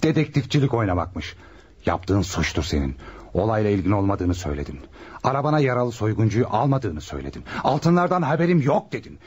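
An actor playing a stern, skeptical police inspector in a Turkish radio drama, with a husky voice and scornful manner. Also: male voice, lowish voice, big pitch swings, a little fast, darkish tone. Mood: accusing. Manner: accusing, scornful.

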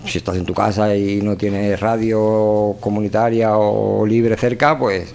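Casting: male radio host, Spain accent, fairly low voice, rambling informal chat, slight background noise. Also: flat delivery, a little slow, vocal fry, a little mellow. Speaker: community radio show host. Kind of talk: casual, colloquial chat.

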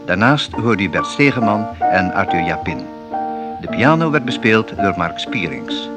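A man reads the closing credits of a radio drama in a calm, measured way. His low voice still moves lively. Loud piano music plays behind him. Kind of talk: scripted radio announcement.

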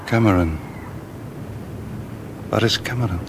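A doomed ruler, proud and defiant, with a very low voice. He declaims slowly and dramatically, as in a radio play, and loud effects noise surrounds him. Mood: alarmed, searching. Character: defiant doomed ruler in a burning Chinese city.

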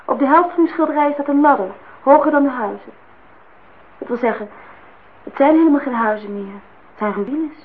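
A woman's voice: high voice, moderate pace, pauses often, narrow, tinny audio, close to an everyday voice. An actress playing a forthright, teasing young woman in a naturalistic radio drama, on a noisy old recording. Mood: probing, forthright. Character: cool, teasing, independent young woman visitor.

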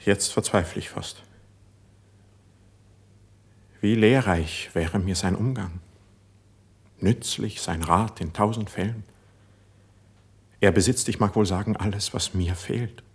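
A deep, faintly husky male voice plays an impassioned court poet. He declaims verse with lofty, measured melancholy, in the manner of a classic stage-style radio play. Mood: wistful resentment.

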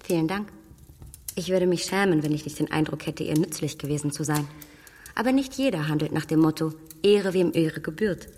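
Actress as self-assured young woman, sharp and defiant, long reverb. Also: low voice, fairly quick, a little hiss or hum, natural voice. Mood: pleased. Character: clever, self-assured young woman who likes to matchmake. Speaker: Emma. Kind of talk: radio play acting.

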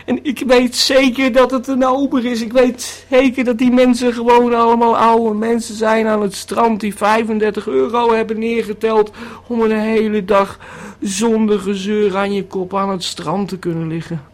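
A man's voice: sits high, flat delivery, normal speed, hardly any pauses. A man playing a rambling male tourist who is tripping on a pill, stammering and repeating his words in a radio drama monologue. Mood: dazed, alarmed.

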